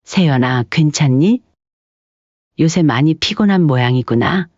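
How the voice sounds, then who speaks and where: A woman playing a gentle, long-suffering older mother-in-law in an audio story, speaking softly and considerately. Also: low-pitched, lively intonation, measured pace, no echo, dull audio. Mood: gentle concern. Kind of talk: dramatized audio story dialogue.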